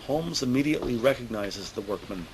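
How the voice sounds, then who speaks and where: Male audio drama narrator: creaky, medium-pitched voice, scripted scene-setting, noticeable ambience. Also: expressive, a little fast, background noise.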